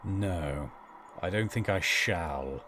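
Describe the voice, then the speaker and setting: A man's voice: low voice, animated, slow, sharp tone, husky, full character voice. A man playing a cruel, contemptuous royal father in a fantasy audio drama, speaking with haughty, archaic theatricality. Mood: contemptuous anger.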